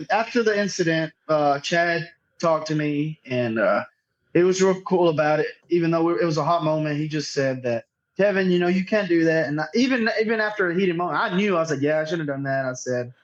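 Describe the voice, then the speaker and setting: High-voiced man, muffled audio. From Northeast Texas, United States. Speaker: podcast guest, tournament director. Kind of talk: casual interview chat with anecdotes. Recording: dull, lo-fi remote audio.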